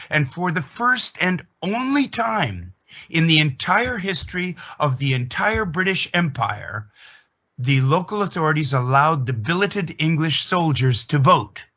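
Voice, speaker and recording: A Canadian man from Atlantic Canada with a Canadian accent gives an opinionated, emphatic explanatory monologue, telling it deliberately like a story in an interview. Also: on the high side, pushed and bright, lo-fi sound.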